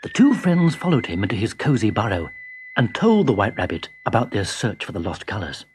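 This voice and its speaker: A man narrating a children's story in an expressive, dramatic storytelling style. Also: lowish voice, a little fast, a little hiss or hum.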